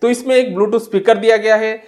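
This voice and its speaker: A woman's voice: on the high side, steady tone, a little fast, darkish tone. A woman with an Indian accent hosting a tech channel, narrating an unboxing in a casual review style.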